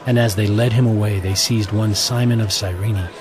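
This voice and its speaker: A man giving measured, solemn scripted narration of scripture. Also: lowish voice, fairly even intonation, noisy background. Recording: prominent music bed.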